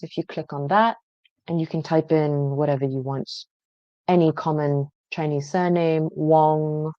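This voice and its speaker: A woman presenting a genealogy database, explaining each step in a conversational way with frequent pauses. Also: deep voice, mellow, smooth, no echo, lo-fi sound. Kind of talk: screen-share database walkthrough.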